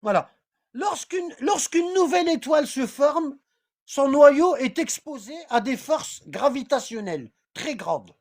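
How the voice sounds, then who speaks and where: High-pitched teacher rambling through a class on a voice call, repeats stuff, keeps asking "ok?". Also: expressive, a little slow. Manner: repetitive, rambling, checks for understanding; reads aloud from text, then comments. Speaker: religious teacher. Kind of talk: informal online class.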